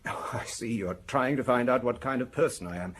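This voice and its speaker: A man's voice: mid-range voice, fairly quick, fairly bright tone, slight background noise, lightly acted. A man in a radio drama playing a defensive, bitter pharmacist of forty, precise and prickly in his speech. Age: 40s. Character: defensive, bitter forty-year-old male provincial pharmacist suspect.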